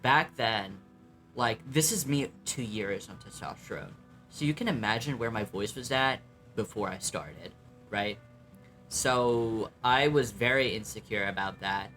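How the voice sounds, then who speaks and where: A man's voice: a little slow, pushed and bright, soft background music. Chatty young man with a light voice. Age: young adult. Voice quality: light voice with some bass. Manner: chatty, blunt and self-mocking, swears freely, many ums. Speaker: vlogger reacting to his old videos. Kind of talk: casual reaction commentary.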